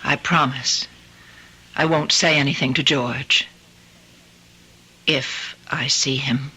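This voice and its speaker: An actress playing an anxious, loyal, polite young wife in old-time radio drama, earnest and dramatic. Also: female voice, very deep voice, steady tone, takes it slowly, background noise. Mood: resigned, sad.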